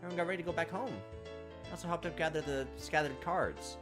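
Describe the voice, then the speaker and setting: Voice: medium pitch, fast talker. A gaming video host reading visual novel text aloud, dropping in casual, playful asides to the characters. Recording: music behind the voice. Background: game music.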